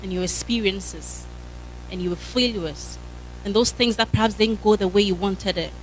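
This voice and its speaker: A woman's voice: mid-range voice, normal speed, a little hiss or hum. Woman preaching in a church hall. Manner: fervent preaching with frequent hallelujahs. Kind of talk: sermon. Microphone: handheld mic.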